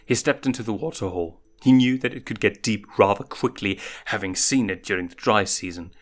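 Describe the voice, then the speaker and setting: A man's voice: on the low side, brisk. A man narrating a story, reading scripted fiction aloud.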